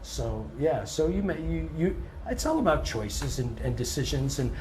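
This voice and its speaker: A touring blues singer and guitarist with a scratchy voice, answering interview questions in a relaxed, thoughtful way and often pausing on "um". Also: male voice, a little high. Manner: relaxed and thoughtful, many ums, restarts now and then.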